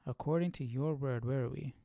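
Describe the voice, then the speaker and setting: A man's voice: mid-range voice, a little fast, creaky, warm tone, soft background music. A man hosting a casual Bible study livestream, reading aloud and musing over it with informal questions. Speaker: livestream host.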